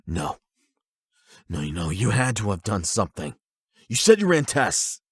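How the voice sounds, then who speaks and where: A man acting as a hot-headed young delinquent in an audio roleplay, gruff, blunt, confrontational and suspicious. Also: on the low side, very animated, a little slow, no echo, studio quality, heavily acted. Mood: alarmed, disbelieving.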